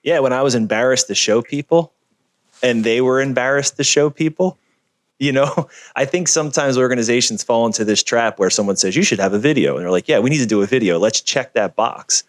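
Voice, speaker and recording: A man being interviewed over a video call, talking in an energetic, emphatic, storytelling way. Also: mid-range voice, fairly quick, no room sound, studio quality. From Philadelphia area. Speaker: guest, video producer.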